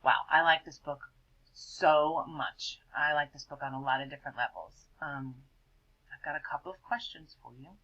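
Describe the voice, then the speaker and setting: A woman teacher reading a picture book aloud and asking her class gentle questions about it. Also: sits low, normal speed, muffled recording. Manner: gentle questioning, prompting children to think.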